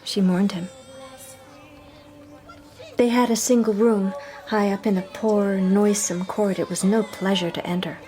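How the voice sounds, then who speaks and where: A woman acting as a young society lady who works as a detective in a radio mystery. She speaks with refined, heartfelt earnestness and a proud, loyal streak, at a middling pitch. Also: steady tone, unhurried, pauses often, warm tone, natural voice. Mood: earnest, reflective.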